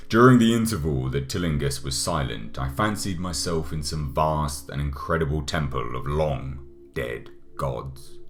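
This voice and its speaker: Extremely deep-voiced male horror narrator. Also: a bit bright. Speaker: audiobook narrator. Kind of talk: scripted gothic horror narration. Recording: music under the voice.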